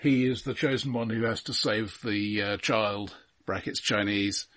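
A man co-hosting a casual film discussion, speaking haltingly and often stammering over repeated words. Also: lowish voice, steady tone, fairly bright tone. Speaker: podcast co-host.

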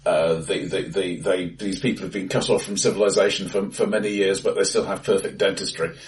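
A lower-voiced man trading dry, wry remarks in a relaxed film chat, stumbling over repeated words as he goes. He sits back from the mic in a bright, reflective room. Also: brisk, distant mic. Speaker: podcast co-host. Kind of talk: casual film discussion. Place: acoustically bright room, face to face.

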